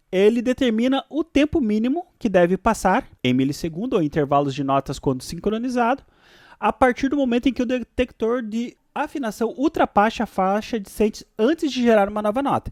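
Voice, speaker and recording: A Brazilian man with a notably high voice teaches in an informal tutorial style. His intonation moves up and down in a lively way, and he often adds small tag questions to check understanding. The recording is very dry, with no room sound. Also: no room sound. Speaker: music software instructor.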